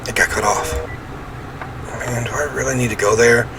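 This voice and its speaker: A man reading a first-person horror game story aloud in an expressive, theatrical way. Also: mid-range voice, moderate pace. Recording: clear ambient noise behind voice.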